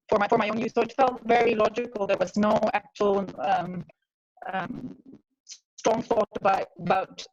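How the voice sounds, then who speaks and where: A woman from the Netherlands, co-founder of a social enterprise, speaking haltingly with many fillers in an online workshop. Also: medium pitch, a little mellow, no room sound, highs cut off. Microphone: video call.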